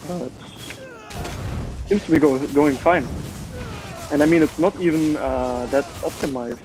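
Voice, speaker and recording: A man's voice: a little high, unhurried, music in the background, low-quality recording. A man with a German accent, a native German speaker, chatting casually and commenting on his own gameplay with many filler words. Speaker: gamer.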